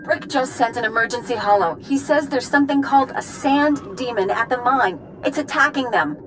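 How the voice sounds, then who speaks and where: Urgent, pleading young game character played by a woman, high voice over clear background noise. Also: moderate pace, natural voice. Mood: anxious, urgent. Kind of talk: dramatic video game acting.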